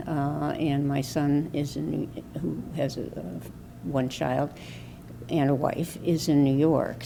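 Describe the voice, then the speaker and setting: Older woman, low gravelly voice, mellow tone, halting and reflective, interview subject. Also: slight background noise, rough recording. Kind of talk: unscripted personal interview.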